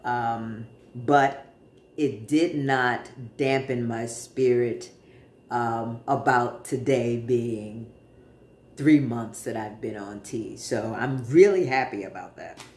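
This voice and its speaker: A man in his forties chatting casually to camera from a living room, relaxed, with many pauses and fillers. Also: mid-range voice. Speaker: vlogger. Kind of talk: casual personal update to camera. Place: living room in front of a sofa, indoors. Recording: roomy, echoey home room.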